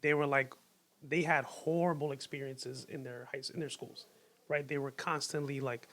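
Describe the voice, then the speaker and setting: A man's voice: medium pitch, steady tone, moderate pace, fairly bright tone. A young man with a New York accent, a podcast guest talking openly in a casual, slangy way. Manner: open, earnest, slangy with many likes.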